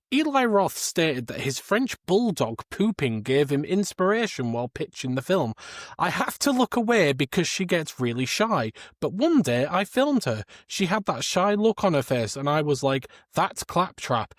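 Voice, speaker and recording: A man's voice: a little high, no echo, clean studio sound. A man co-hosting a podcast, talking about film casually in a critical, exasperated and informal way. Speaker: podcast co-host.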